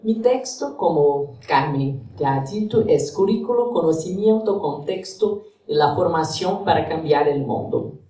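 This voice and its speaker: A woman's voice: low-pitched, lively intonation, unhurried, mellow. A Brazilian woman, Portuguese her first language, giving an academic lecture with a Brazilian Portuguese accent, careful and searching for words in a second language. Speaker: guest lecturer. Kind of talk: academic lecture, partly read aloud. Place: university lecture hall. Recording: echoey hall from far off.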